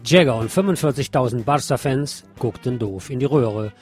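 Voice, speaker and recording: A man presenting a scripted news magazine on the radio as narrator, with a husky voice. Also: medium pitch, normal speed, over music.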